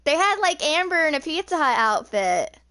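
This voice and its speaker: A woman's voice: high voice, sing-song, normal speed, pushed and bright, no echo. A woman in her twenties chatting casually while gaming, with a rambling, informal way of talking. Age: 20s. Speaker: video game streamer.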